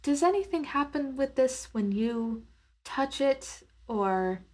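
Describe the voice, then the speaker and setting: A woman's voice: sits high, expressive, slow, a little mellow. A woman playing a tabletop roleplaying game, chatting casually and describing what her character does.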